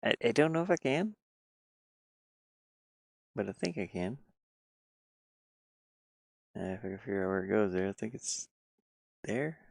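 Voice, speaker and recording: Male, low gravelly voice, close dry sound; casual self-directed work commentary, lightly playful. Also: lively intonation, a little slow, pauses often, dry recording. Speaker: game developer streaming his pixel art work.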